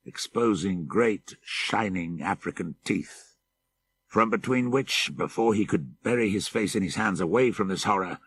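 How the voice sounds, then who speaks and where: Deep husky guy reading a spooky audiobook, slow and deliberate, really leans on the dramatic bits. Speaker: audiobook narrator. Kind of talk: dramatic scripted narration of a horror story.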